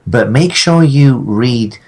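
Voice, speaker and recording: A man chatting casually as a podcast guest, telling stories in a halting, rambling way. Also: medium pitch, lively intonation, darkish tone, husky. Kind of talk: casual anecdotal chat.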